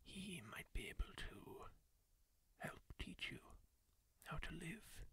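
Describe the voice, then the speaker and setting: A man whispering as a kindly masked male wanderer who gently reassures a wounded stranger, with musing asides. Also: sits high, slow, breathy edge, full character voice. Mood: soothing. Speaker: ASMR roleplay creator. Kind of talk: whispered ASMR roleplay.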